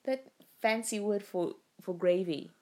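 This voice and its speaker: A woman's voice: mid-range voice, a little slow, darkish tone. A young woman co-hosting a casual, tipsy podcast chat, rambling, swearing and laughing often, whose first language is not English. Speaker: podcast co-host. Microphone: home recording, lying down.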